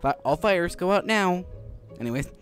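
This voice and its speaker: A man's voice: high voice, lively intonation, moderate pace. A man hosting a gaming video with casual, rambling commentary, often repeating himself. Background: game music.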